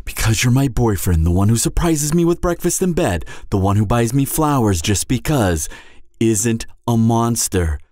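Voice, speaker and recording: A man's voice: mid-range voice, lively intonation, normal speed, darkish tone, no room sound, studio quality, close to an everyday voice. A man playing a devoted hunter boyfriend in an emotional audio roleplay, urgent and stammering, repeating himself as he pleads with his wounded lover. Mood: tender, firm.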